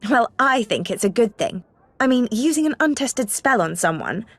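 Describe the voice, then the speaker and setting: A woman voicing a bossy, sensible teenage schoolgirl witch with a husky voice in a video game. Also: fairly high pitch, big pitch swings, moderate pace, a bit bright, exaggerated voice. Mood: reproving.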